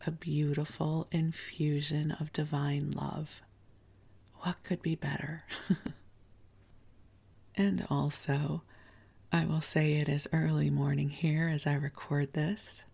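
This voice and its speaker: A middle-aged woman with a froggy morning voice hosts a sleep podcast from bed. She talks very slowly and evenly, slipping into a husky half-whisper. The tone stays low and flat, with a light gravelly fry. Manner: slow, soothing, partly whispered. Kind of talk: soft-spoken sleep podcast talk. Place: bedroom, under a blanket fort.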